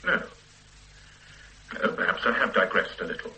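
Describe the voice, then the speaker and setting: An actor playing a pedantic, self-satisfied forensic scientist who lectures the police in a radio drama. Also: male voice, on the low side, expressive, normal speed, pushed and bright, rough recording. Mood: lecturing. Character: pompous middle-aged male forensic scientist. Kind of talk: 1970s radio drama acting.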